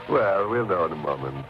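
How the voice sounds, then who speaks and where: A man hosting a 1940s radio mystery, introducing the story in a teasing, macabre way. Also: low voice. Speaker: host. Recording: muffled old radio recording. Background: music.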